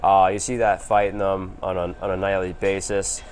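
A man's voice: sits low, level tone, moderate pace, darkish tone, a little hiss or hum. A man co-hosting a sports show, giving casual basketball analysis in a conversational way.

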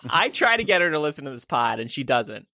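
A man's voice: mid-range voice, sing-song, quick pace, bright, forceful tone, dry recording, clean studio sound. A man co-hosting a comedy podcast in casual, joking banter.

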